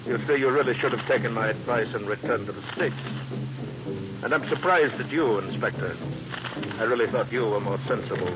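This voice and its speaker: An actor playing a suave, coldly polite villain in a 1950s radio drama, formal, mock-courteous and condescending. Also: male voice, mid-range voice, a little fast, music in the background, natural voice. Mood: smug, cold. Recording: muffled old radio recording, heavy noise.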